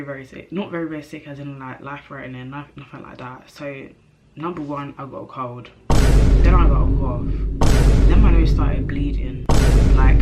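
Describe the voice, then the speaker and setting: A young woman vlogging to camera in a chatty, animated way, her nose a bit stuffy from a cold. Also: deep voice, lo-fi sound. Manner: chatty, animated, self-interrupting, slangy. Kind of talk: casual chat to camera.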